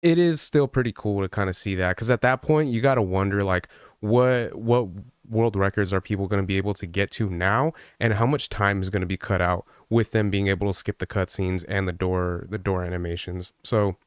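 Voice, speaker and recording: Man, slightly deep voice, clear tone, dry sound, casual gaming commentator, conversational, rambling. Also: a little fast, hardly any pauses, no room sound. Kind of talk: casual commentary, reading an article and giving opinions.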